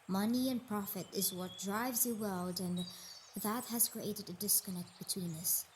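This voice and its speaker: A woman's voice: mid-range voice, steady tone, unhurried, background noise, natural voice. A woman voicing a sickly, motherly Earth goddess in an intimate roleplay monologue, hesitant and emotional, dipping toward whispers. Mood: sad, conflicted. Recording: nature ambience with birdsong behind voice.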